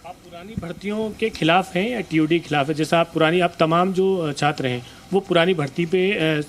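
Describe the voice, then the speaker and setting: Man questioning youths outdoors. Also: on the high side. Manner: probing, halting with fillers. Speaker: field reporter. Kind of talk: street interview questions.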